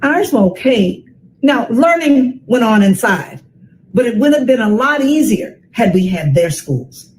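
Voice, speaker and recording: An American woman in her sixties reads a children's story aloud with dramatic, deliberate phrasing and emphatic repetition. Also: on the high side, sing-song, darkish tone, slight background noise, low-quality recording. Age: 60s.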